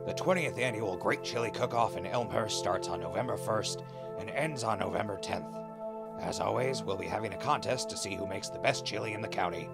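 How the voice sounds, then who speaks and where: A man playing an easygoing ghoul radio host in a post-apocalyptic audio drama, laid-back and conversational with a gravelly voice. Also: lowish voice, fairly quick. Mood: upbeat, wry. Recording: music bed under the voice.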